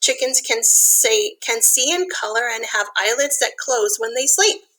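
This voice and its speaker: Woman, slightly high pitch, bright tone, expressive storybook reading, teacherly asides for kids. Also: a little slow, low-quality recording. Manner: expressive, simple explanations addressed to children. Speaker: elementary school teacher reading a picture book aloud. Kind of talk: read-aloud storytelling for young children with explanatory asides.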